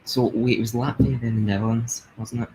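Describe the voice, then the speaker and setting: A young man with a Glasgow-area Scottish accent, chatting excitedly and laughing as he comments on a live stream. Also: medium pitch, brisk, slight background noise, low-quality recording. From Scotland.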